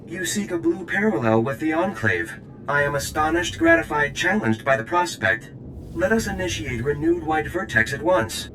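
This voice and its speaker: A man's voice: big pitch swings, moderate pace, natural voice. A man voicing an alien ambassador droid in a video game, formal and stilted, with oddly cryptic phrasing. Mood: gratified, ceremonious. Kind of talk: video game character acting. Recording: clear rumbling noise behind.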